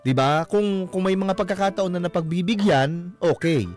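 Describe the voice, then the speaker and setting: A man's voice: high-pitched, normal speed, a little mellow. A man hosting a radio advice show, explaining conversationally with personal examples and often checking for agreement. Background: music.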